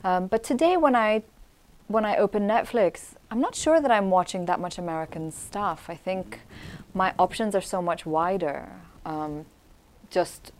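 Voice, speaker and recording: A woman who grew up in Syria, answering as an interview guest in an articulate, measured, reflective way. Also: mid-range voice. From Syria, grew up in Damascus. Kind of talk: conversational commentary on culture.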